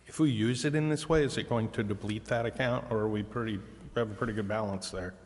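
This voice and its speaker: A man speaking as a council member at a public meeting, in halting, formal phrases. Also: on the low side. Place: indoor city council chamber. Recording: echoey council chamber with faint noise.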